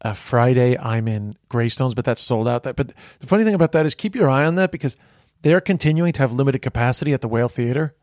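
A man's voice: mid-range voice, expressive, fast talker, few pauses, darkish tone, no echo, studio quality. A man in his forties with an American accent hosting a podcast in casual chat, rambling with frequent restarts. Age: 40s. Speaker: podcast host.

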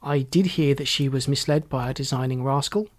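A narrator voices a firm gentleman in an audiobook story. He is steady and curt, with quiet scorn in his replies. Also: mid-range voice, brisk, lightly acted. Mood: quiet, pointed.

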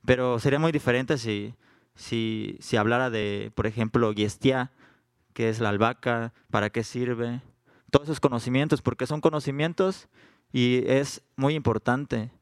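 Mexican guy, smooth resonant voice, stumbles a lot, live podcast, dry sound. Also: mid-range voice, steady tone, moderate pace, no room sound. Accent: Mexican Spanish. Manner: hesitant, many restarts and fillers. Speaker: band member guest.

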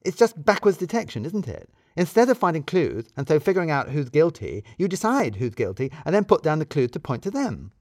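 Man acting a tart office woman, raised pitch. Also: very animated, fairly quick, hardly any pauses, darkish tone, dry recording, clean studio sound. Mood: brisk.

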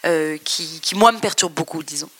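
A woman from France, a guest in an interview, talking thoughtfully and halting often with restarts. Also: low voice, a bit bright, husky. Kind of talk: interview conversation.

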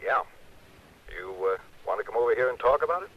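A man's voice: mid-range voice, sing-song, quick pace, natural voice. An actor playing a chatty, harried insurance company man in a 1960s radio drama, speaking in an emphatic, folksy way. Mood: eager, teasing. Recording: dull, slightly noisy old radio broadcast.

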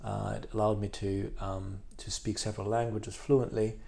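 A man in his forties from Belgium talks about his life as a podcast guest. His voice has a gravelly, creaky edge, and he speaks thoughtfully, often restarting and repeating words as he works through his memories. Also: lowish voice, flat delivery, moderate pace, mellow, slight background noise. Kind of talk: reflective interview chat.

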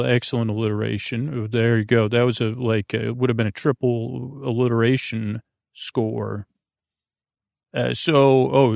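A man's voice: mid-range voice, creaky, dry recording, clean studio sound. A man hosting a bedtime podcast in a meandering, hesitant, whimsical monologue, often breaking off his sentences and wandering off topic. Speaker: host of a bedtime sleep podcast. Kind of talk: rambling, off-topic monologue to the listener.

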